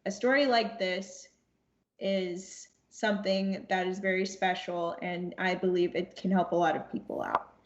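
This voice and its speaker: A woman's voice: lowish voice, fairly even intonation, a little slow. A young woman speaking as a podcast guest, reflective and earnest, repeating phrases for emphasis. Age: young adult. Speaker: podcast guest, audio drama cast member. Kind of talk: reflective conversation. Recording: echoey room, dull lo-fi sound.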